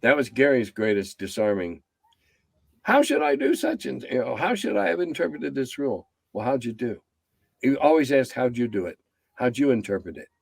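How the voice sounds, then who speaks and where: A man's voice: very animated, normal speed, creaky, no echo. Blunt, rambling man in his seventies. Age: 70s. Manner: blunt, rambling, drops low and quiet as he winds down. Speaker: guest, game designer. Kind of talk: casual interview chat.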